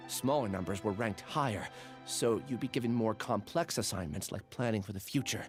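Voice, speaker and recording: A man's voice: on the low side, moderate pace, music in the background, natural voice. An actor playing an earnest, troubled young man in a video game. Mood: troubled recollection. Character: earnest, troubled young male test subject.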